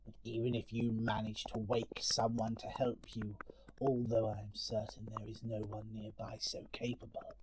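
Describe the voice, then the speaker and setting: A man playing an ugly, spiteful monster in an audio story, delivering a bitter, theatrical monologue of revenge with deliberate menace that swings between hiss and shout. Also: lowish voice, monotone, lightly acted. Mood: menacing, commanding. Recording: noisy amateur home recording with clicks.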